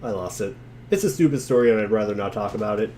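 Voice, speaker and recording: A man's voice: fairly low pitch, lively intonation, fast, dark tone, slight background noise. A man giving a wry, theatrical dramatic reading of narration and dialogue, dropping into casual asides. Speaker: reader in a group dramatic reading.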